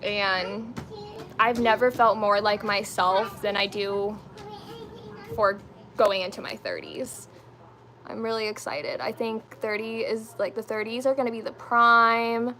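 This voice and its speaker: A woman's voice: medium pitch, a little slow, pushed and bright, slight background noise, rough recording. A woman in her late twenties chatting casually and informally to viewers on a get-ready-with-me livestream, using slangy language. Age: twenty-nine. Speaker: beauty and lifestyle live streamer.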